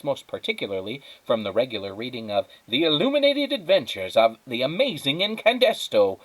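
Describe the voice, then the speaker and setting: A man reading a children's story aloud as a teacher, in expressive narration. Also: mid-range voice, low-quality recording.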